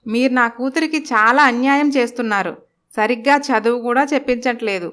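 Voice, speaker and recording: A high, clean-voiced stepmother from an animated moral tale, acted by a woman. She is harsh and emphatic, quick to nag, and dotes on her own daughter. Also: lightly acted. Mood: indignant, complaining. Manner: nagging, emphatic. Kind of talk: Telugu animated moral story acting.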